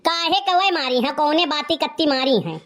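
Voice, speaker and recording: A woman plays an exasperated village mother in a comedy cartoon, pitched very high. She has an Awadhi accent and a sharp tongue, and she scolds with heavy sarcasm. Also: fairly quick. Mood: scolding. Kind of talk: comic cartoon dialogue.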